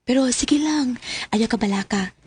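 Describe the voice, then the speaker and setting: An actress playing a sweet, lovelorn young student and obedient niece, with a Cebuano Filipino accent in radio drama style. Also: female voice, a little high, moderate pace, a little mellow, husky. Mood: tender, sweet.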